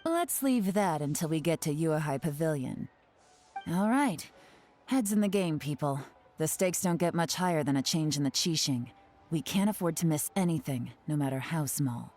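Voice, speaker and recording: A composed official in a video game, measured and businesslike as she lays out the process. Her voice sits a little low, mellow and dark in tone. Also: expressive, soft background music. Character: composed woman official running an assessment.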